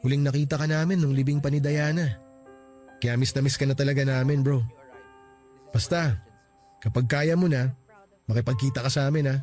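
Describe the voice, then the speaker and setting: A man playing a gentle, reassuring male friend. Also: medium pitch, fairly even intonation, a little fast, pauses often, lightly acted. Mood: encouraging. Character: supportive joking male friend of the grieving man. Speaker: close friend. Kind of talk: radio drama acting. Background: soft music.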